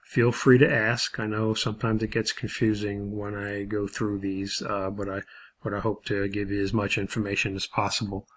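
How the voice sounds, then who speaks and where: A man's voice: lowish voice, level tone, moderate pace, fairly bright tone. A man giving an informal video lesson as an instructor, explaining methodically step by step in a hesitant way.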